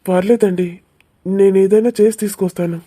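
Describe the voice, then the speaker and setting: A woman voicing a caring, lovestruck young man in a cartoon romance, speaking in a soft, reassuring and earnest way. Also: medium pitch, steady tone, moderate pace, natural voice.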